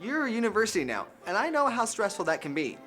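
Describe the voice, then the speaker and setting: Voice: mid-range voice, big pitch swings, quick pace, fairly bright tone, faint music behind. An actor playing a chummy talking university. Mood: smug. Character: personified rival university, chummy and sly.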